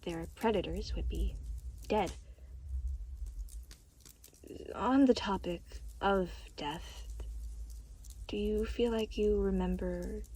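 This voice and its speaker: Woman playing a breathy, gentle, hesitant deity. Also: medium pitch, heavily acted, poor audio. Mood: soft, apologetic. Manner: soft-spoken, hesitant, polite, motherly endearments. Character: gentle, awkward, caring deity who comforts souls in limbo. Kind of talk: audio roleplay addressed to the listener.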